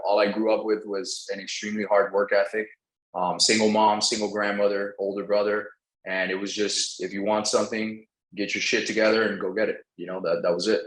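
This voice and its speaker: A man's voice: fairly low pitch, fairly bright tone. A man whose first language is Spanish, telling his story as a casual, slangy podcast guest who swears freely. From Venezuela. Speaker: podcast guest, real estate entrepreneur. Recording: roomy, echoing sound.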